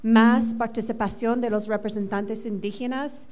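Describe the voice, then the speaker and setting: Middle-aged gringa speaking spanish w/ american accent, higher voice, handheld mic, lots of eh and restarts. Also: female voice, steady tone, darkish tone. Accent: American English. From United States. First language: English. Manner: quick, with many fillers and restarts. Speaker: conference presenter. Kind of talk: conference talk. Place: large hotel conference room. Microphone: handheld microphone.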